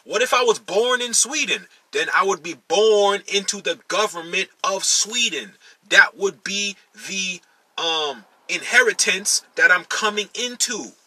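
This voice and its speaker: A man's voice: high voice, unhurried, bright, forceful tone, breathy edge, dry recording, low-quality recording. A man from Maryland giving an instructional monologue to his followers. He talks emphatically, repeats key phrases and calls his listeners y'all. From United States, Maryland. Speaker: teacher addressing followers.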